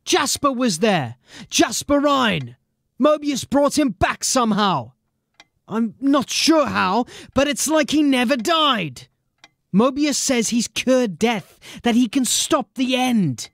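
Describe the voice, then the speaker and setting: A man playing a determined, embattled police sergeant in a dramatic audio drama, speaking earnestly and deliberately. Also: extremely high pitch, lively intonation, creaky, a bit bright, dry recording, studio quality, full character voice. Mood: grave, insistent.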